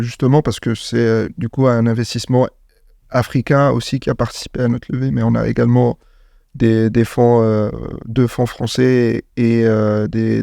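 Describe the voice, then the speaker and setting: A man's voice: medium pitch, vocal fry, warm tone, no room sound, clean studio sound. A man from Morocco, a startup founder, speaking in a casual interview, hesitant with many fillers and restarts.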